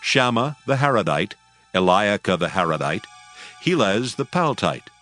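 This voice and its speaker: Low-voiced male Bible narrator over music. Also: very animated, a bit bright. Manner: measured, formal storytelling. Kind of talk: scripted dramatized Bible narration.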